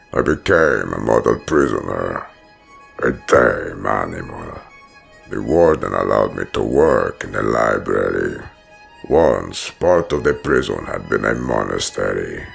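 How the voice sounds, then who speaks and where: A man playing a brooding, menacing prison-hardened villain who narrates his origin in a gravelly, solemn, deliberate voice for a comic dub. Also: very deep voice, full character voice. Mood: cold, controlled. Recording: music behind the voice.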